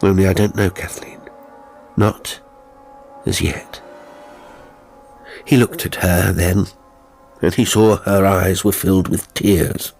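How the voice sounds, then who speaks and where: A man reading a horror story as an audiobook narrator, in measured, suspenseful phrases that often fall to a hush. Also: sits low, little pitch movement, gravelly, breathy edge. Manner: measured, suspenseful, breaking into short weighted phrases. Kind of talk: scripted dramatic horror narration. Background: faint music.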